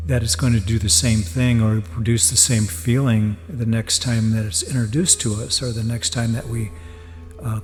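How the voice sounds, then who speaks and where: A man speaks as a meditation teacher, guiding listeners with measured, deliberate phrases. His medium-pitched voice carries a long reverberant tail. Faint music plays behind him as he leaves pauses between thoughts. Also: a little mellow.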